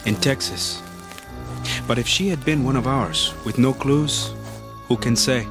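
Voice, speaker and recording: A man's voice: mid-range voice, measured pace, over music, lightly acted. Man playing a grave, dutiful Mexican village police chief. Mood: grave, solemn. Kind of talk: radio drama acting.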